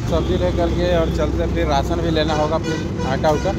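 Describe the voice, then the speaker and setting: A man's voice: fairly high pitch, little pitch movement, moderate pace, a loud crowd. Truck-driving vlogger, man, 40s, chatty, filmed on a phone over heavy road noise. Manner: chatty, addresses viewers as friends. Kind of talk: casual vlog narration. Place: outdoors by a highway and in a truck cab. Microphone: phone camera at arm's length. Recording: loud traffic and road noise. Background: vehicles and traffic.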